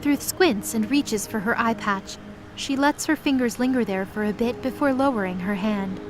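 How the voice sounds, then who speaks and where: A woman's voice: fairly high pitch, moderate pace, background noise. A woman narrating a scripted audio drama in the present tense as its storyteller.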